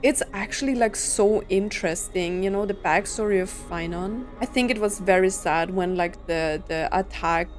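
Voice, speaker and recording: A woman's voice: mid-range voice, normal speed, hardly any pauses, soft background music. A woman streaming a video game with casual playthrough commentary, sometimes reading on-screen lines aloud.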